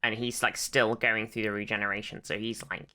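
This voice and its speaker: A podcast co-host giving a casual, rambling retelling in an animated, informal way, with some swearing. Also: medium pitch, fairly quick. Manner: animated retelling, acts out quotes.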